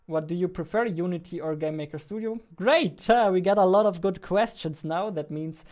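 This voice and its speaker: Man, 20s, casual streamer, smooth voice on the higher side, informal, close studio mic. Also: moderate pace, a little mellow. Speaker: game developer live-streamer. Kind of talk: casual live-stream chat. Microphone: close studio mic on boom arm.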